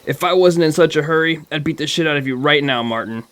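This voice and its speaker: A man voicing an angry, bossy young fraternity president in a horror audio drama, shouting orders with hostility. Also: on the high side, fast, clear, resonant tone, pitched above the performer's own voice, close to an everyday voice. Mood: hostile, sneering. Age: young adult.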